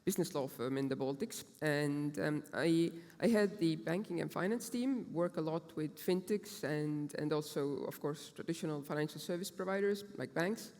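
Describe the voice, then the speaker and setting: A woman's voice: deep voice, normal speed, hardly any pauses, darkish tone. Woman lawyer introducing herself on stage, businesslike, a bit of hall echo. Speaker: lawyer panelist. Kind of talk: self-introduction on a panel. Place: large conference hall stage. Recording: hall reverb.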